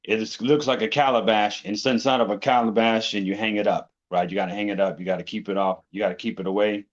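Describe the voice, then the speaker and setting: A man, a podcast guest, telling his spiritual journey in a relaxed, storytelling way, often checking in with "you know" and "right". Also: lowish voice, quick pace, lo-fi sound. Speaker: interview guest. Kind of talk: casual conversation.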